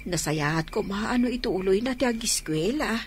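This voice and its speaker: A woman's voice: medium pitch, expressive, normal speed, slight background noise, lightly acted. An actress playing an anxious, nagging middle-aged mother in a radio drama, fretful and complaining. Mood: worried. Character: anxious, nagging mother worn down by her children.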